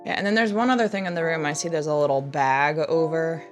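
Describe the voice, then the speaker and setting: A woman's voice: deep voice, lively intonation, fast. A woman talking casually as a player in a tabletop roleplaying game. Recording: background music under the voice. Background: music.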